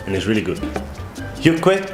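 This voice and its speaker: A man's voice: lowish voice, normal speed. A man from Spain with a Spanish accent, chatting casually and jokily while trying food. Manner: jokey, laughs often. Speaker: company employee, food tasting participant. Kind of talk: casual chat, reacting while tasting food. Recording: roomy echo, music behind.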